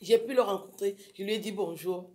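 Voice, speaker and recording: A woman chatting casually as a radio guest, telling her stories in an animated, exclamatory way. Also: medium pitch, brisk. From Africa. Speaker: guest, writer on violence against women. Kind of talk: casual radio interview chat.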